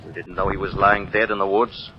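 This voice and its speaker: An actor playing an invisible, commanding vigilante interrogator in an old-time radio drama, accusing and clipped. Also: male voice, low-pitched, flat delivery, brisk, lightly acted. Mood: cool, probing. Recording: muffled, noisy vintage radio recording.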